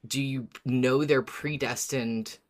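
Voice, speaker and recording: A woman's voice: very deep voice, fairly even intonation, slow. A woman hosting a casual fan discussion about a fiction podcast, speaking enthusiastically and emphatically and swearing casually. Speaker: podcast host.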